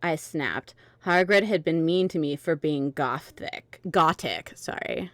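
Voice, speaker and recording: A woman narrating an amateur dramatic reading of fan fiction, informal and halting as she works through the text. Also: low-pitched, expressive, fairly bright tone, no echo. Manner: informal, halting, stumbling over misspelled text.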